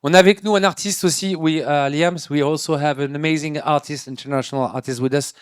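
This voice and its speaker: A man moderating the event introduces the speakers briskly, then switches into halting English. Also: fairly high pitch, fairly even intonation, clear, resonant tone, no echo, clean studio sound.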